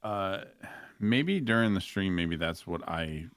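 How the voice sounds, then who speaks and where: A man's voice: low-pitched, animated, slow pace, fairly bright tone, no echo, clean studio sound. A man speaking as a guest in a casual podcast discussion.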